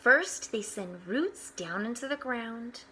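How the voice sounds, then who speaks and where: A woman reading a picture book aloud to children, expressively, pausing for explanatory asides. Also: fairly high pitch, slight background noise. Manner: expressive, with hushed asides pointing things out.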